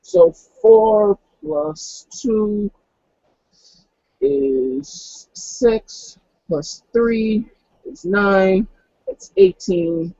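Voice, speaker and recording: A woman with a dark, gravelly voice talks casually over a tabletop game. She counts and checks rules at a slow pace. The recording is a little dull. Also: mid-range voice, no room sound. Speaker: player in a tabletop roleplaying game. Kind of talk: casual game chat, counting dice aloud.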